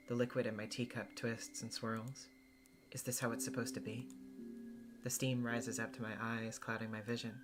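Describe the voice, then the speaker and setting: A solo reader narrates a visual novel in a dramatic, scripted style. The voice sits at a middle pitch. Music is clearly audible behind it.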